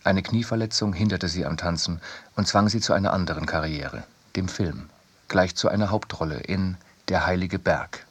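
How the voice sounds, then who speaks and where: Deep, husky man reading in a dry studio. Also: moderate pace, dry recording. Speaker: second reader of a literary essay. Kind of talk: scripted narration in a radio production.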